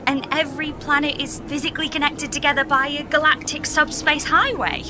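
A woman's voice: high-pitched, fairly even intonation, fairly quick, noisy background, lightly acted. A woman playing an exasperated, naive and incredulous space traveller in an audio comedy drama. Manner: incredulous, indignant, plain-spoken.

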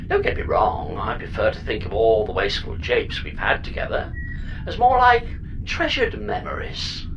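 A woman plays a spaceship's pod computer in a comedy audio drama, with a low female voice that swings wildly up and down. It is chatty and flippant, breezily grand about its own recklessness. There is clear noise behind the voice. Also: wildly swooping pitch, normal speed, full character voice, rough recording. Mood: breezy, wistful. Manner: mock-grand, breezy, theatrical.

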